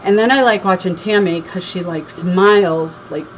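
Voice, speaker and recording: A woman from Connecticut with a slight Southern twang chats through a crafting livestream and reads viewer comments aloud between tasks. Her voice sits fairly low. The audio is dull and lo-fi, with clear background noise. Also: normal speed. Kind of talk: casual live crafting chat.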